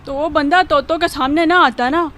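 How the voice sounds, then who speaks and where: A cheeky schoolboy in a radio play, defiant and quick to talk back. The voice is high and childlike, with faint noise behind. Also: female voice, fairly even intonation, fairly bright tone. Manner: defiant, backtalking. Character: cheeky young schoolboy who hates studying. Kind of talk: Urdu radio drama acting.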